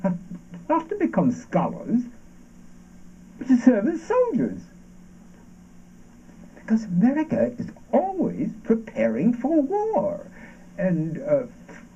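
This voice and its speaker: A man acting a brooding, sardonic ranter in an avant-garde stage monologue, halting and deliberate, with dramatic shifts of emphasis. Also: sits high, mellow, husky, full character voice. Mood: mocking, insistent. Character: brooding, sardonic ranter delivering absurdist anti-war poetic monologue. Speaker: stage actor. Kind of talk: theatrical monologue from an avant-garde radio play adapted for stage. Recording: dull, noisy lo-fi stage recording.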